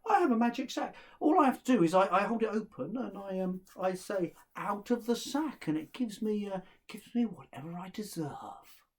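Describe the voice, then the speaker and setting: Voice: medium pitch, lively intonation, moderate pace, hardly any pauses, voice pushed up, lightly acted. A storyteller playing a polite, guileless young man in a folktale, hesitant and rambling. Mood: feigned innocence. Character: youngest son, kindly, polite, guileless young male traveller. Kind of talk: live oral folktale telling.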